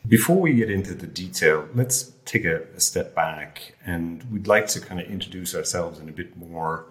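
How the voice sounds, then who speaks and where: Deep-voiced man in an echoey room. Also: lively intonation, moderate pace. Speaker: co-host. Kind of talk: casual conversational talk.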